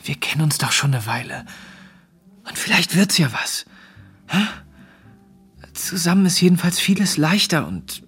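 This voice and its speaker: An actor playing an earnest seventeen-year-old farmhand and hopeful suitor in a naturalistic radio play, plain-spoken and coaxing. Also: male voice, fairly high pitch, lively intonation, a little slow, pauses often, fairly bright tone, breathy edge, faint music behind, heavily acted. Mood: tentative, hopeful. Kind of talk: naturalistic radio play acting with first-person narration.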